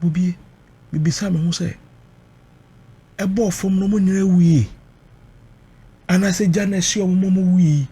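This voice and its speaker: A man's voice: sits high, fairly even intonation, unhurried, long pauses, mellow, breathy edge. A Ghanaian man recounting personal experience emotionally, mixing Twi and English, emphatic and dramatic, repeating phrases for weight.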